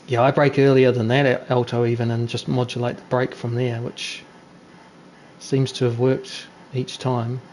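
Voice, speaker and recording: A man's voice: medium pitch, flat delivery, normal speed, a little mellow, rough recording. Kiwi guy streaming a race on a headset, chill and chatty, ribbing the other drivers, faint engine hum behind. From New Zealand. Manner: chatty, laid-back, teasing other drivers. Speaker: streamer racing online. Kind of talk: casual live-stream commentary and chat. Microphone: gaming headset.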